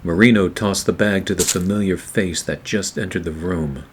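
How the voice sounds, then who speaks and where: A man playing a weary, wry private eye, narrating a noir radio play in a sardonic, measured way. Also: sits low, a little hiss or hum, close to an everyday voice. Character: weary, wry hard-boiled male private eye narrating his case.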